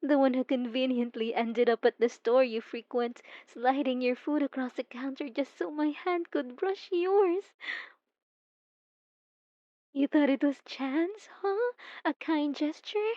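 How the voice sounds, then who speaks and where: A young woman playing an obsessive, possessive stalker student in an intimate ASMR audio roleplay, cooing softly and dramatically with sweet menace. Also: high-pitched, measured pace. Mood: intimate, teasing. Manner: soft, intimate, cooing, dramatic, drops into whispers. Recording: dull lo-fi sound with roomy echo.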